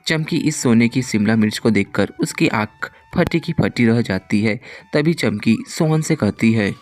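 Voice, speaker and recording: A man's voice: mid-range voice, lively intonation, unhurried, darkish tone. A man narrating a scripted children's moral story.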